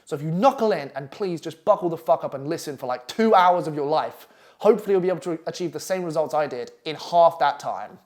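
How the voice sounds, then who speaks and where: Brash, fast-talking Essex man. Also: on the high side, expressive, a little mellow. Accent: Essex English. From England. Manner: brash, profane, pushy. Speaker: copywriting coach. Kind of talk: online masterclass presentation. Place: London flat.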